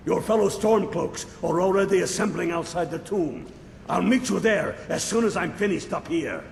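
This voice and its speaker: An actor playing an eager rebel officer in a fantasy video game, with a gravelly voice. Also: male voice, high-pitched, animated, moderate pace, roomy sound, big-room sound, slight background noise. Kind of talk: video game character acting.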